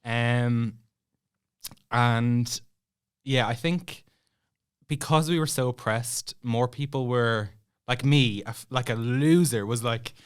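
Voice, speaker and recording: A man's voice: mid-range voice, slow pace, clean tone. A young Irish man telling a story as a podcast guest in a casual, chatty, self-deprecating way. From Ireland.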